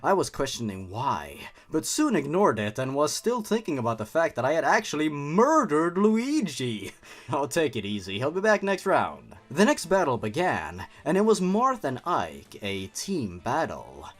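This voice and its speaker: A man with a higher-pitched voice reads a story in a hugely animated way, swinging up and down in pitch. His delivery is theatrical and sarcastic, moving between dramatic narration and mocking commentary. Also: unhurried. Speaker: host reading and mocking a fan horror story.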